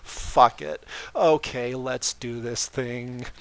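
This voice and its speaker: A man's voice: mid-range voice, fairly even intonation, takes it slowly, creaky. A man reading fan fiction informally and dramatically, with wry, self-mocking, rambling asides. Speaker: fan fiction reader and author.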